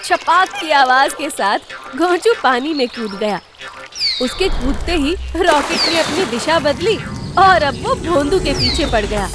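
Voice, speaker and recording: A woman's voice: high voice, animated, unhurried, very noisy. A woman delivering scripted narration for a cartoon story. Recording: loud music behind.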